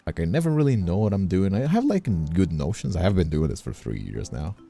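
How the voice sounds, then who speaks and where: A man's voice: low-pitched, very animated, a little fast, gravelly, dark tone, faint music behind. A man who works as a streamer and voice actor, chatting casually in a rambling, candid way and repeating his words. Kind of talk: casual chat.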